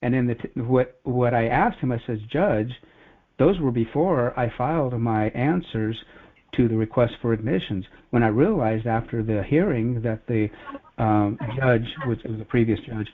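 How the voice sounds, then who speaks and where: A man giving an earnest, rambling, casual personal account on a conference call. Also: medium pitch. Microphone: telephone conference call. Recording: phone-like conference call audio.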